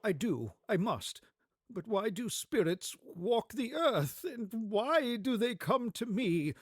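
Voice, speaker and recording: A man's voice: high-pitched, sing-song, takes it slowly, no room sound, clean studio sound, pitched above the performer's own voice, exaggerated voice. A man voicing a stubborn, rattled old man in a radio story, emphatic and blustering. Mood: fearful, pleading. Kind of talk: dramatic storybook reading for radio play.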